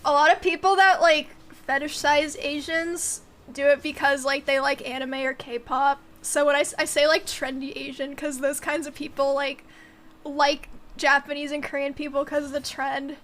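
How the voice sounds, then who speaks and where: A woman's voice: high-pitched, flat delivery, normal speed, a bit bright. A young woman in her twenties whose first language was Mandarin, chatting casually on a game stream, giggling and filling her talk with "like" as she reads chat aloud.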